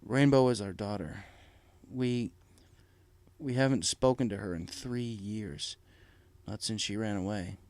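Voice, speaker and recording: A man voicing a humble, anguished pegasus father in a storybook, halting, earnest and deferential. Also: lowish voice, darkish tone, dry recording, lightly acted. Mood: sorrowful. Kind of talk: storybook character voice.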